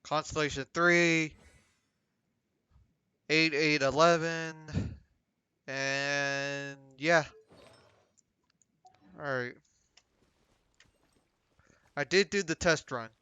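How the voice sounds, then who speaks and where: Bright, crisp game streamer, unhurried. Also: medium pitch, fairly even intonation, no room sound. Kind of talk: casual live game commentary.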